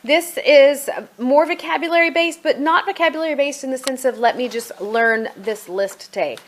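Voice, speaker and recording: A woman's voice: high-pitched, moderate pace. Chatty woman reviewing a product. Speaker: homeschooling mother reviewing a product. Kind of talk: casual product review chat.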